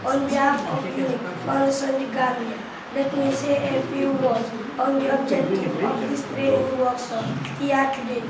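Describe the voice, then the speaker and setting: A high-voiced woman delivers a formal welcome, setting out her points one by one. She is far from the mic in a very echoey hall, with loud noise around her. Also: steady tone, normal speed, hardly any pauses. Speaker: event presenter.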